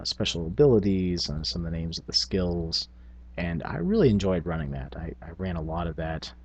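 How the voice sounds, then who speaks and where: A man with a very low voice hosts a hobby gaming video. His tone is slightly rough and husky, and he talks in a loose, casual, thinking-aloud way. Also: a little mellow. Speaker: tabletop gaming video host. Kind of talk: casual rambling talk.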